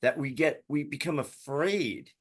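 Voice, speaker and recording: A man's voice: on the high side, measured pace. A man hosting a podcast interview, talking in an enthusiastic, affirming way and often ending his points with a question. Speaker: podcast host. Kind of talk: conversational interview.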